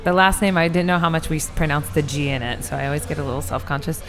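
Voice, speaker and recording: A woman's voice: low voice, fast, fairly bright tone, soft background music. A woman interviewer, chatty and a bit rambling, who often adds likes and laughs at herself. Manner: chatty, rambling, self-deprecating, lots of likes. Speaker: podcast interviewer. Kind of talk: casual interview.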